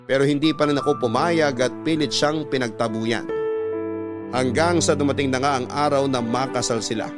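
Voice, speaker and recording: A man with a Filipino accent reading a letter as scripted, sorrowful, reflective radio drama narration. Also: mid-range voice. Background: music bed.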